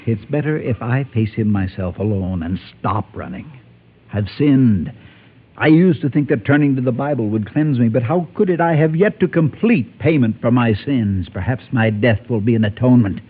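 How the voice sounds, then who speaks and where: An actor playing a guilt-ridden former gunfighter turned preacher in a Western radio drama, speaking in a grave, halting, confessional way with a husky voice. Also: male voice, mid-range voice, expressive. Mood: resigned. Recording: muffled, tinny old-time radio recording.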